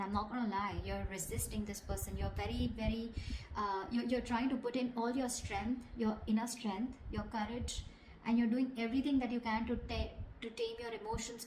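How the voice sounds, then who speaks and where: A woman with a mid-range voice reads tarot directly to her listener. She talks conversationally and keeps punctuating her points with short check-in questions. The recording is roomy and echoey, with clear noise behind her. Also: mid-range voice, darkish tone. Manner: conversational, repeats tag questions like okay and you know. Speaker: tarot reader. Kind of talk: intuitive tarot reading addressed to the viewer. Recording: echoey room, clear background noise, clicks.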